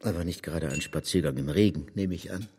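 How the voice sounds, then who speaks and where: An actor playing a formal, middle-aged married gentleman, grumbling yet kindly, in a literary radio play. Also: sits low, fast talker, breathy edge. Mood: politely chiding. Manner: formal, courteous, dry. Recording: studio radio play with hall reverb.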